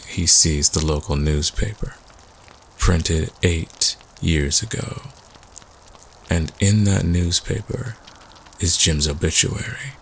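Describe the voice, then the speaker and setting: Man acting as a very deep, gravelly campfire storyteller. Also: slight background noise, exaggerated voice. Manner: slow, deliberate, suspenseful storytelling. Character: male student telling spooky campfire ghost stories. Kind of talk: campfire story narration in an audio roleplay.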